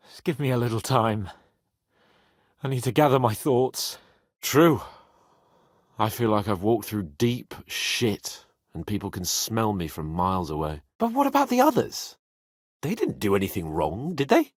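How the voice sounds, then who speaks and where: A man's voice: mid-range voice, husky, dry recording, studio quality. A man playing a cocky, haughty young medieval nobleman in a video game, sardonic, emphatic and brash. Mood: shaken, subdued. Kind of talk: video game character dialogue.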